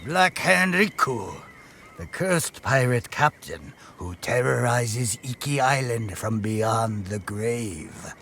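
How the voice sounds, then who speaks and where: An actor playing a haunted pirate sailor who spins grim legends with theatrical, ominous pauses in a video game. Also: male voice, medium pitch, animated, pushed and bright, slight background noise, full character voice. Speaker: storyteller. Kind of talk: dramatic campfire-style storytelling in a video game.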